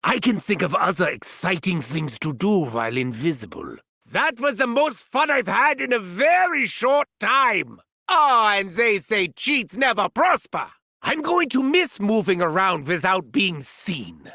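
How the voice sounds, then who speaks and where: An actor playing a gleeful, sadistic mad scientist villain in a video game, theatrical and taunting, with a German accent. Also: male voice, high voice, very animated, measured pace, sharp tone, no echo, studio quality, exaggerated voice. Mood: playful, taunting. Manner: theatrical, swooping from gleeful shrieks to sinister murmurs. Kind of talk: video game character voice lines.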